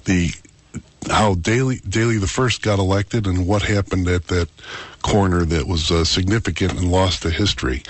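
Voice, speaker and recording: A man's voice: sits low, steady tone, moderate pace. A man hosting a call-in radio show, chatty and self-mocking, rambling with frequent restarts. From Chicago. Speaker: fill-in radio talk show host.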